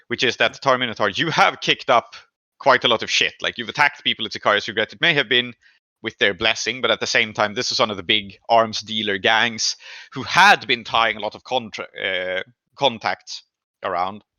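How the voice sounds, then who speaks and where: A man with a very bright, forceful voice leads a tabletop roleplaying game as its game master. He explains the scene deliberately, pausing to find his words. The sound is very dry and slightly dull. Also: on the low side, dry recording. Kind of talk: improvised narration of a tabletop game session.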